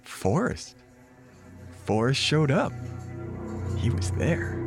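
A man's voice: medium pitch, big pitch swings, takes it slowly, music in the background. A man acting a laid-back, chummy new-age yoga teacher in his late twenties in a radio drama, slangy and effusive. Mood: casual, surprised. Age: late 20s.

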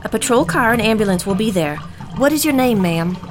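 An actress playing a businesslike police dispatcher in an audio drama, speaking in a controlled, official manner. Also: female voice, on the high side, expressive, brisk, lightly acted. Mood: brisk, official. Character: businesslike female police dispatcher taking emergency call.

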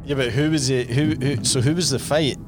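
A man's voice: medium pitch, fairly quick, over music. A man hosting a podcast, teasing his guest and laughing while running a quiz. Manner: teasing, laughs often, repeats himself. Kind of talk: casual chat.

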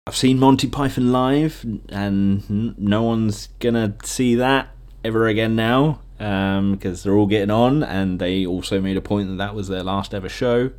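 A man's voice: fairly low pitch, moderate pace, a little mellow. A young British man talking casually and informally on his own, rambling through memories as a podcast host with easy enthusiasm. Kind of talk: casual rambling solo reminiscing.

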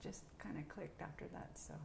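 A woman's voice: brisk, darkish tone. A middle-aged woman chatting casually as an interview guest, recorded with roomy echo and some background noise. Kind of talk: casual conversation.

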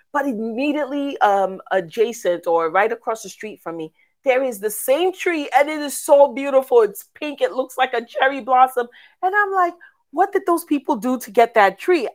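A woman co-hosts a faith podcast. She talks casually and reflectively, with an expressive storyteller's way and a medium pitch. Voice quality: raspy. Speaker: podcast co-host.

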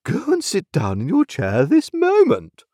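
Storybook role: male reader as grand, easily affronted mother, imperious, shrill-high pitch, very animated. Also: normal speed, no echo, studio quality, exaggerated voice. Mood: scolding. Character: haughty, indignant upper-class mother on her dignity. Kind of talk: dramatised classic novel reading.

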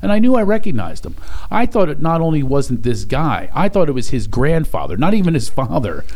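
A man from Philadelphia hosting a podcast, interviewing a guest in a teasing, casual way with quick restarts. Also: fairly high pitch, big pitch swings, a little mellow. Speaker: podcast host.

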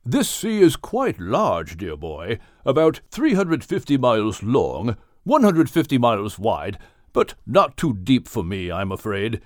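A man voicing a genial, gentlemanly whale for an audiobook, with a British accent and polite, old-fashioned manners. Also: mid-range voice, sing-song, a little slow, dry recording, studio quality. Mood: genial, courteous. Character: genial, courteous upper-crust male whale. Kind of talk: audiobook character acting.

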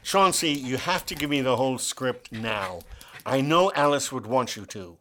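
A man's voice: mid-range voice, expressive, normal speed, fairly bright tone, close to an everyday voice. An actor playing a theatrical, skeptical male stage performer in a radio play. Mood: insistent. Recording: faint music behind the voice.

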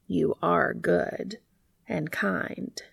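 A woman voicing an earnest, devoted male bee in a storybook, speaking softly, tenderly and deliberately. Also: lowish voice, fairly even intonation, gravelly, slightly rough, dry recording, full character voice. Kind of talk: storybook character reading.

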